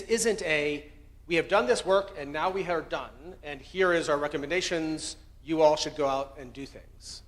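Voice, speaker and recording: A man's voice: on the high side, fairly bright tone. American man presenting in an echoing hall. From Colombia. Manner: conversational, earnest, self-correcting. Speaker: researcher presenting findings. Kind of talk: conference presentation. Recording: long hall reverb.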